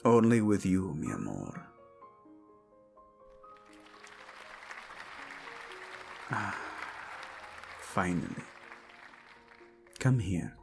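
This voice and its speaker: A man's voice: sits low, huge pitch swings, gravelly, soft background music, some chatter behind, exaggerated voice. A man playing a devoted, hot-tempered yet tender king, speaking intimately and teasingly in a romantic audio roleplay. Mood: playful, tender. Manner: soft, intimate, teasing, halting.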